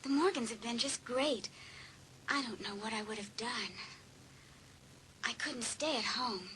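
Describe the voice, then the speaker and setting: A woman acting emotional, excitable teenage girls in a dramatised audiobook. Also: a little high, unhurried, a little hiss or hum, poor audio. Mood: soft, confiding. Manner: excitable, exclamatory.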